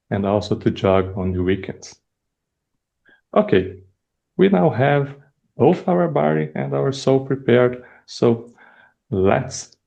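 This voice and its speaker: A young man from Brazil with a Brazilian Portuguese accent, speaking English as a second language, giving a prepared icebreaker speech with measured pauses. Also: mid-range voice, creaky, mellow, lo-fi sound. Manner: measured, deliberate delivery with pauses. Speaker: first-time club speaker.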